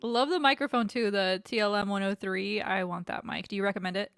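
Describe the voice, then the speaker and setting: A woman's voice: mid-range voice, steady tone, clear, resonant tone, dry recording. A woman co-hosting a livestream, speaking in a casual, encouraging and friendly way. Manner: warm, encouraging, quick to laugh. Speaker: co-host.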